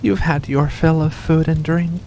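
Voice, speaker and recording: A seductive vampire creature, played by an ASMR performer speaks at a very slow, deliberate pace in a mid-range voice. The delivery is intimate and predatory, purring with menace as if coaxing prey. A clear wash of rain-like noise sits behind the voice. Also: mid-range voice, fairly even intonation, lightly acted. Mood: hypnotic, menacing. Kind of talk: ASMR roleplay monologue.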